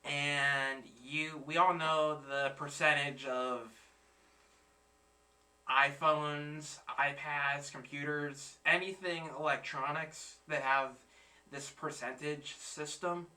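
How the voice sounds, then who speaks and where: Bright-voiced young man, heard from afar. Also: a little high, little pitch movement, distant mic. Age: 20s. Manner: halting, searching for words. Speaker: reaction channel host. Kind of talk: casual chat.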